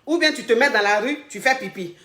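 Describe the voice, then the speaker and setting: High-voiced woman coaching emphatically. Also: a little fast, reverberant. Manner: emphatic and repetitive, loud bursts on key points. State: slight head cold. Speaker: relationship coach. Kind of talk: live-streamed advice talk.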